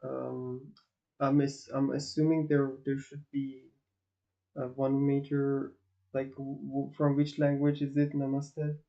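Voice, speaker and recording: A man from northwest Iran, a native Azeri and Farsi speaker, chatting casually with his stream viewers and often pausing to search for words. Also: medium pitch, monotone, gravelly, dark tone, lo-fi sound. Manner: hesitant, searching for words, many restarts and fillers. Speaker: livestream host.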